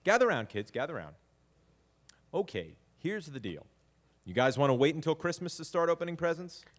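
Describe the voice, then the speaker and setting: Genial theatrical dad, animated, echoing hall. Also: male voice, on the high side, normal speed. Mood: coaxing, genial. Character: genial, fumbling storytelling father at Christmas. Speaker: father and narrator. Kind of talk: live stage audio drama with narration. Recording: live hall recording with long reverb.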